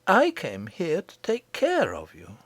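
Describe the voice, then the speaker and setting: A woman voicing a kindly, dignified old great-great-grandmother queen in a storybook audiobook, gentle, gracious and composed. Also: lowish voice, wildly swooping pitch, slow, no echo, pitched above the performer's own voice, heavily acted. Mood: kindly, patient.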